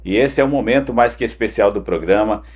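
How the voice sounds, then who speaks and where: Male devotional host, deep register with some rasp, earnest conversational delivery straight to the listener. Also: brisk. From Pará, northern Brazil. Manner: earnest, direct address with frequent "né" tags. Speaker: host of a morning devotional, former broadcaster. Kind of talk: conversational morning devotional talk. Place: home studio.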